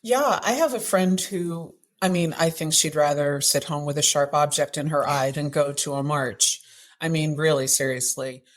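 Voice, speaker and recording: A woman's voice: low-pitched, normal speed. A woman speaking as an interview guest and coach, giving direct, emphatic advice in conversation and often posing rhetorical questions. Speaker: guest author and activism coach.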